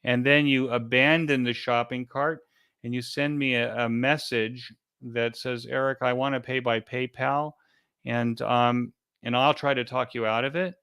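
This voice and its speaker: Guy with a smooth clear voice walking thru instructions, repeats himself a bit, really dry close sound. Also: mid-range voice, flat delivery, fairly bright tone, no echo. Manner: halting, repetitive, explanatory. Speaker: event organizer being interviewed. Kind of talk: informal step-by-step explanation.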